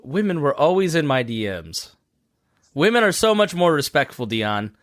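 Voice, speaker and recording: Lively, self-mocking young man. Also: on the high side, normal speed, dry recording, clean studio sound. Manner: self-deprecating, joking. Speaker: podcast co-host. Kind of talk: casual comedic chat.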